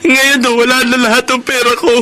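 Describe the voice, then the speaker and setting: An actor playing an earnest, devoted young delivery rider and loving son in a Filipino radio drama. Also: male voice, very high voice, flat delivery, brisk, music in the background. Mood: distressed. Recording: noisy radio drama sound with background ambience.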